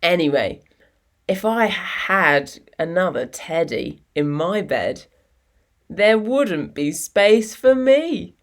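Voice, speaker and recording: A woman's voice: on the low side, expressive, slow, pitched below the performer's own voice. A woman voicing a kind, matter-of-fact young big sister in a storybook, speaking plainly and reassuringly. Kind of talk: storybook dialogue.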